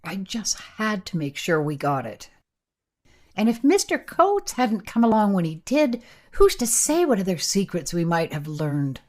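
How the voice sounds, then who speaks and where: An actress playing an earnest, keen time traveller with an emphatic, insistent manner in a radio play. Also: female voice, mid-range voice, very animated, a little slow, darkish tone. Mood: earnest, apologetic.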